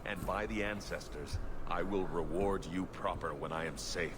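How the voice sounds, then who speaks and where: A very deep male voice of an actor plays an exiled dwarf noble in a fantasy video game. He is grave and proud, speaking in solemn, old-fashioned phrases over clear background noise. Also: normal speed, lightly acted. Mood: grave, formal.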